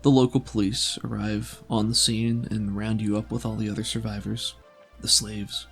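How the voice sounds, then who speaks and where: A man with a gravelly voice, running a tabletop roleplaying game as game master, telling the story and explaining in a deliberate way. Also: on the low side, level tone, a bit bright. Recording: voice over background music.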